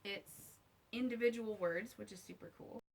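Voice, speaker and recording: A woman's voice: medium pitch, normal speed, creaky, husky. A woman vlogger giving a casual, unscripted review to camera, candid and wry, with conversational asides.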